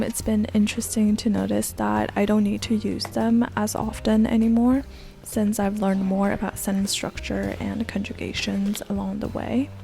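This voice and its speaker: A young woman in her twenties narrates a voice-over in a soft, hushed, almost whispered tone. Her mid-pitched voice has a gravelly fry at the phrase ends, and light music plays faintly underneath. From Canada. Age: 20s. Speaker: language learning vlogger.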